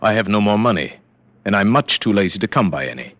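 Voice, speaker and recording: Deep, brisk, haughty gentleman. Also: animated, no echo. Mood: cool, insolent. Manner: clipped, formal, coolly commanding. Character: haughty, self-possessed aristocratic gentleman in disguise. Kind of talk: vintage radio drama acting. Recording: dull, lo-fi vintage radio recording.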